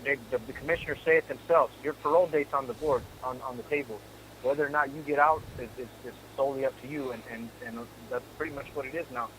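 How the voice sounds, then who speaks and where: A man's voice: medium pitch, a bit bright. A man in his forties calling in from prison, talking earnestly and repeating words as he starts each thought. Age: 40s. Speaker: incarcerated guest on a call-in show. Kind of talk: casual phone chat. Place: prison phone. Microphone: phone line. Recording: faint noise on a prison phone line.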